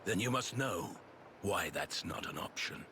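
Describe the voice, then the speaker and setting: A man's voice: sits low, animated, measured pace, pushed and bright, slight background noise, exaggerated voice. An actor playing a guarded, weary veteran guardsman in a video game, speaking tersely and formally. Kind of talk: video game cutscene acting.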